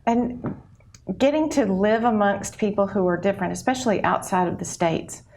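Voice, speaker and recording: A woman's voice: medium pitch, moderate pace, vocal fry, a little mellow, a little hiss or hum. A woman hosting a local TV interview, asking curious, encouraging questions in a casual way. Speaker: host. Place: local TV studio.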